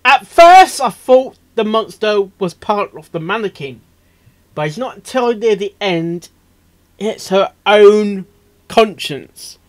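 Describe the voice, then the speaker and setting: A man hosting a reaction video, rambling casually and excitably, stammering and repeating himself as he goes. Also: high voice, slow. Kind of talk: casual off-the-cuff reaction commentary.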